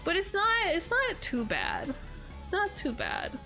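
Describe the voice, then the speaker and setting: A woman's voice: very high voice, huge pitch swings, a little slow, pushed and bright, soft background music. A young woman streamer chatting casually with her viewers, stuffy and congested from allergies, giggly and rambling with lots of "like"s. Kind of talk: casual livestream chat reading comments.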